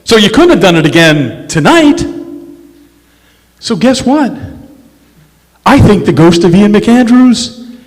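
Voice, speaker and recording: A man playing a confident, wry detective in an old-time radio drama on stage, speaking deliberately and emphatically. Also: high voice, big pitch swings, exaggerated voice. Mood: dawning amazement. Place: stage in a large reverberant hall. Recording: echoey hall stage recording with music.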